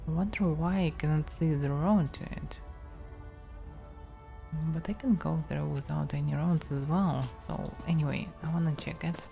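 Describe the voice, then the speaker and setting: A woman with a Russian accent, musing softly to herself while giving casual commentary on her game stream. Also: low voice, steady tone, moderate pace, creaky, faint music behind. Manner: soft-spoken, musing to herself.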